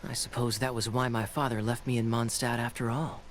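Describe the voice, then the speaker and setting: A man playing a suave, teasing young knight in an anime game, with a smooth, playful, theatrical delivery. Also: mid-range voice, level tone, a little fast, slight background noise, close to an everyday voice. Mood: wry, probing.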